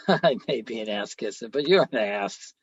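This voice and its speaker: A man's voice: mid-range voice, expressive, moderate pace, slightly rough, no room sound, lo-fi sound. A man acting in a radio play as an easygoing young student. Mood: frank.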